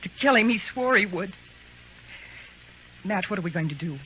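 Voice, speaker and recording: A woman's voice: mid-range voice, animated, moderate pace, close to an everyday voice. An actress playing an anxious, pleading married frontier woman in an old-time radio drama, earnest and urgent. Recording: dull, noisy old radio recording.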